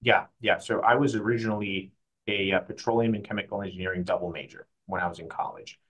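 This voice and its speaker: Fast-talking man, guest in interview. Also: lowish voice, fairly even intonation, fairly bright tone, poor audio. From Mexico. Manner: fast, chatty, self-correcting. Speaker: guest, private client advisor. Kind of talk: conversational interview.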